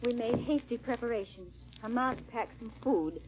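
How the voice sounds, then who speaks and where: A woman's voice: on the high side, moderate pace, background noise, lightly acted. An actress playing a frightened young noblewoman on the run, who also narrates her tale, in melodramatic, formal 1940s radio drama style. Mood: grave narrating. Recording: muffled vintage radio recording.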